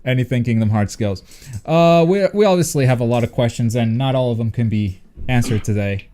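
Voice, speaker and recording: A man's voice: mid-range voice, a little fast, dark tone. A man hosting a livestream and chatting casually and informally with viewers. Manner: informal, swears freely. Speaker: livestream host.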